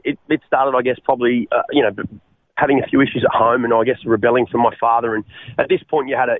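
A man's voice: medium pitch, dry recording. A man in his forties with an Australian accent, a guest telling his life story over the phone in a rambling way, full of fillers and restarts. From Western Sydney, Australia. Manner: rambling, many fillers and restarts, calls the host mate. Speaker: guest sharing his testimony. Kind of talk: casual interview over the phone. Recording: tinny phone line, dry.

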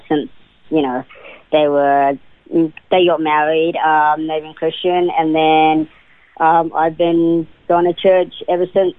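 A man's voice: a little high, dry recording. A young man in his twenties with a New Zealand accent, a guest answering interview questions hesitantly with many fillers and restarts. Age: 20s. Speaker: guest actor. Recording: tinny, dry phone line.

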